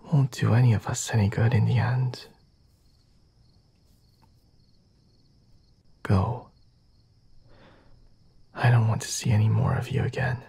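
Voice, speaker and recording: A man playing a flirty, self-assured vampire lord in an ASMR roleplay, speaking softly and formally in a breathy, often whispered voice with a teasing manner. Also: medium pitch, exaggerated voice. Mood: cool, commanding. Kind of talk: ASMR roleplay, intimate dramatic monologue.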